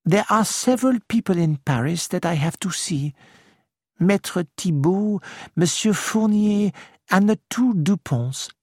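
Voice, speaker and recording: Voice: mid-range voice, expressive, a little slow, breathy edge, dry recording, studio quality, pitched above the performer's own voice. A narrator voicing a fussy elderly Belgian male detective who speaks formally and precisely. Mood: courteous. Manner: formal, polite, precise phrasing.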